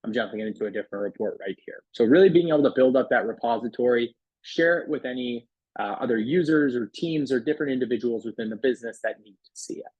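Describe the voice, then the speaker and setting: A man talks through a software demo in a conversational, explanatory way. His mid-pitched voice is a little husky, and the close recording is very dry. The delivery is casual sales patter. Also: quick pace, no echo, dull audio. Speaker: software product demonstrator. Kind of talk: casual product demo walkthrough voice-over.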